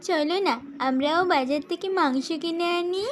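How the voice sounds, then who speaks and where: A woman's voice: extremely high pitch, measured pace. A woman voicing a farmer's little daughter in a cartoon, childish, pleading and sulky, with slight background noise.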